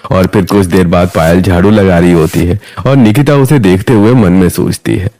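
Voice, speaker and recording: A man's voice: low voice, moderate pace, dark tone. A man narrating a scripted moral story.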